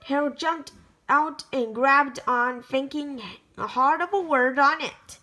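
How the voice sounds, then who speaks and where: A woman reading a children's picture book aloud, storytelling with lively emphasis and the occasional stumble. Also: high-pitched, rough recording.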